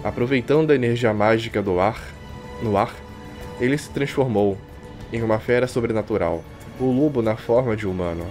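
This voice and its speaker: A man with a Brazilian accent reading a visual novel aloud like a streamer, expressive and colloquial. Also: medium pitch, moderate pace. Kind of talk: dramatic live reading with casual asides. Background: game music.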